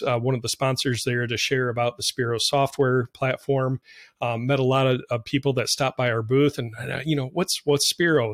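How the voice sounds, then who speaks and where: A man's voice: mid-range voice, a bit bright, no echo, clean studio sound. A man hosting a podcast, upbeat and chatty, laughing often as he introduces and questions his guest. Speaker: podcast host. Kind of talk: casual podcast interview.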